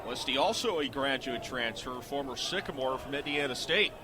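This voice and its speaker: A middle-aged man calling live sports on the radio as a play-by-play announcer, in measured, clipped phrases. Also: medium pitch, animated, noisy background.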